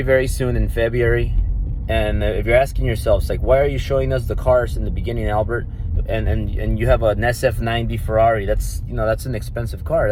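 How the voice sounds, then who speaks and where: A man's voice: medium pitch, a little mellow, slight background noise. A man in his thirties with a Mexican Spanish accent, a native Spanish speaker, talking in a brash, swaggering vlog, swearing and repeating himself. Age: 30s. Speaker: vlogger and entrepreneur. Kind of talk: casual boastful vlog.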